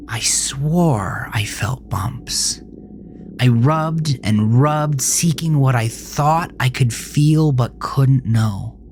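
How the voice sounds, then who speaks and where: A man playing a troubled teenage boy who narrates his own horror story in a brooding, confessional way, often dropping to a hushed murmur. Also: medium pitch, drawn-out words. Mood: uneasy, pained. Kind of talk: dramatic first-person horror audio narration. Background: music.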